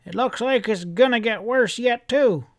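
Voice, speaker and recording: A man's voice: very high voice, a little slow, voice pushed up. A man voicing a grumbling old country grandfather in an audiobook, calling out as if through a storm. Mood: worried. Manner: shouting, grumbling.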